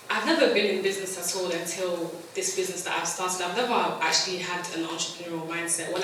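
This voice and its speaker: Young woman, bright tone, chatty, distant mic. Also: on the low side, a little hiss or hum. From East London, England. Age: 20s. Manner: chatty, laughs easily, self-correcting. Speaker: conference speaker. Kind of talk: personal story on stage. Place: large conference hall. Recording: echoey hall, mic heard from afar.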